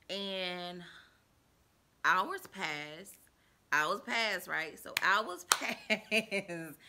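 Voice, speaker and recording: A woman tells a story to her viewers, chatty and rambling, with lots of fillers. Her voice is low and gravelly with a bright, forceful edge, and she jumps up high when she acts out what people said. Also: a little hiss or hum. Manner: acts out quoted dialogue in a raised voice. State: stuffy and under the weather. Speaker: vlogger telling a personal story. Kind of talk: casual storytime chat.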